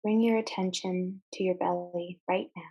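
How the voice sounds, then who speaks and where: A woman leading a guided meditation, giving measured instructions in short phrases with deliberate pauses. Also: on the low side, fairly even intonation, muffled recording.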